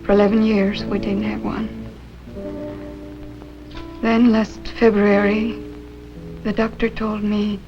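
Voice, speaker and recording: A woman's voice: mid-range voice, monotone, takes it slowly, pauses often, lightly acted. An actress playing an earnest, plaintive married woman in a 1950s television drama. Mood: weary, wistful. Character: weary, wistful married woman denying then confessing a secret. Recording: dull 1950s soundtrack, loud music behind.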